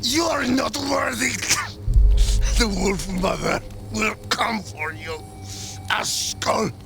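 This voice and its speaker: An actor playing an ominous, fanatical Viking priest of a wolf god in a video game, speaking with zealous menace. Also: male voice, sits high, takes it slowly, bright, forceful tone, exaggerated voice. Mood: threatening. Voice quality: creaky. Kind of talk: dramatic game cutscene acting. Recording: music under the voice. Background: music.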